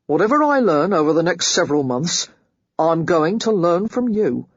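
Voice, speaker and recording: A woman reading the part of a brash, self-made rich man who speaks bluntly and forcefully in short, clipped lines. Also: lowish voice, sing-song, normal speed, husky, dry recording, dull audio.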